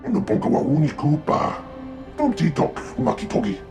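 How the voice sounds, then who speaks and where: A man voicing an animated, gravelly alien who speaks an invented tongue in a video game. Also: fairly high pitch, normal speed, heavily acted. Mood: excitable. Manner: animated, swooping. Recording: music behind the voice.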